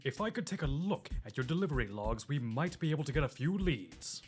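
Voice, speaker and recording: A man's voice: fairly high pitch, big pitch swings, brisk, darkish tone, faint music behind. A man playing a dogged, earnest, quirky police detective in an audio drama, probing and melodramatic.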